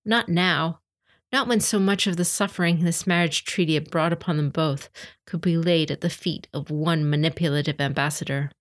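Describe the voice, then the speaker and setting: A woman's voice: sits low, steady tone, normal speed. A woman narrator reading a scripted fantasy story in an audiobook style.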